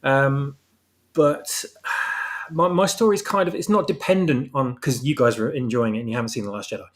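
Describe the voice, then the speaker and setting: A man's voice: medium pitch, moderate pace. A man discussing his own story as a guest writer, speaking casually and hesitantly as he searches for words. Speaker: guest writer of a fan audio drama.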